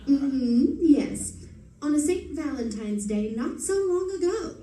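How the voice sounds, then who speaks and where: An actress playing a witty, affectionate, teasing society wife in the style of 1940s radio drama. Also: female voice, sits high, big pitch swings, slow, dark tone, a little hiss or hum, exaggerated voice. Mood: playful teasing. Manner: teasing, arch delivery. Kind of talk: live recreation of 1940s radio acting. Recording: roomy stage recording from a distance.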